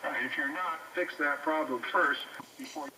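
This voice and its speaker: Sharp, distant man over ham radio. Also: fairly high pitch, normal speed, slight background noise. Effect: heard through a radio receiver. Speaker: amateur radio operator. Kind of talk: off-the-cuff technical advice.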